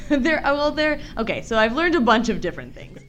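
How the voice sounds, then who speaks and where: A woman's voice: a little high, big pitch swings, moderate pace, a bit bright, slight background noise. A woman speaking as a podcast guest in an informal interview, thinking aloud as she goes. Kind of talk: casual interview chat. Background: music.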